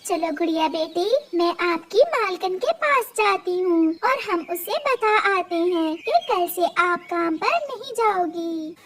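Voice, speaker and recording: A performer voicing a loving, gentle mother bird in a cartoon, talking in an affectionate, tender manner. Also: very high-pitched, expressive, measured pace, few pauses, pitched above the performer's own voice, exaggerated voice. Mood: joyful.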